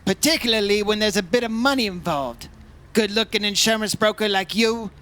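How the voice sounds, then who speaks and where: A man's voice: high-pitched, brisk, pushed and bright. A man playing a wry, teasing insurance investigator in an old-time radio detective play. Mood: needling, wry. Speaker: lead actor in a radio play.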